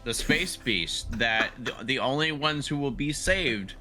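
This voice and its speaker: A man roleplaying casually in a tabletop game, halting and often repeating his phrases. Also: medium pitch, lively intonation, sharp tone, soft background music.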